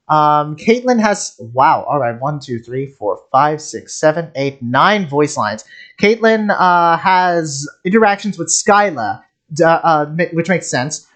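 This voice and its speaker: A host talking casually and a little haltingly, reacting to a video game as commentary. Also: mid-range voice. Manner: halting, hesitant, giggly.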